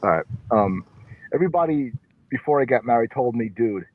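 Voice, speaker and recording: A man's voice: mid-range voice, lively intonation, fairly quick. Man on a tinny line. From New York. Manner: joking, repeats words when starting. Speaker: podcast guest, comedian. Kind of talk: casual chat. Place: in his parked car. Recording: tinny phone-like sound.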